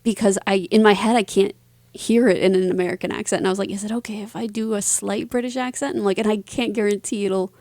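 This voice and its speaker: A woman's voice: medium pitch, steady tone, quick pace, darkish tone, no room sound, studio quality. Interview guest, woman, mid-range voice. Informal, modest, chatty storyteller, very little room sound. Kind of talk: casual conversational storytelling.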